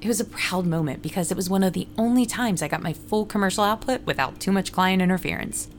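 A woman's voice: medium pitch, fast, slight background noise, lightly acted. A woman playing a friendly, ordinary runner and ad writer, narrating her story in a scripted fiction podcast. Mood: narrating, calm. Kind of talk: first-person scripted audio drama narration with dialogue.

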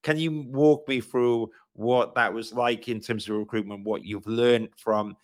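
A Welsh-accented man hosting a podcast interview. He talks in a conversational way, affirms his guest and asks long, rambling questions. His pitch is mid-range. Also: steady tone, unhurried. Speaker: podcast host.

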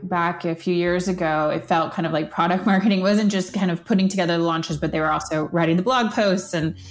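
A woman's voice: fairly low pitch, steady tone, a little fast, soft background music. A woman giving casual, conversational interview answers about her work as a marketing manager.

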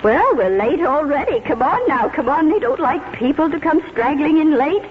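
An actress playing a weary, sentimental seventy-five-year-old schoolteacher in 1940s radio drama style. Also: female voice, high-pitched, animated, moderate pace, very noisy. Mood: flustered. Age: older. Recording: muffled old radio broadcast, music behind.

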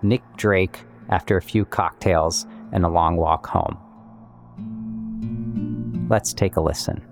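A man's voice: low-pitched, steady tone, slow. A man presenting as a composer and musician, explaining his work in scripted but conversational podcast narration. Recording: music under the voice. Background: guitar and plucked string music.

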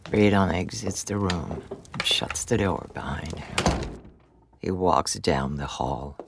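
Deep, slow male narrator. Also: vocal fry, very noisy. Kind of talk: scripted audio drama narration, present tense.

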